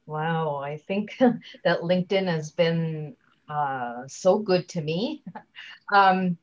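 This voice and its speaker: A woman giving a conversational interview as a guest speaker, chatty and halting, often leaving sentences unfinished, on slightly dull audio. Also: fairly low pitch. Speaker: guest, LinkedIn trainer and speaker.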